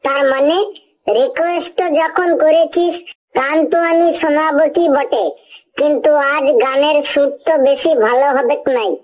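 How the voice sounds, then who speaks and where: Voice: high voice, steady tone, normal speed, natural voice, low-quality recording. A performer voicing a touchy, contrary cartoon schoolboy with rustic dialect phrasing, in exaggerated comedy style. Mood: touchy, argumentative. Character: Lebu, touchy rustic schoolboy who sings badly.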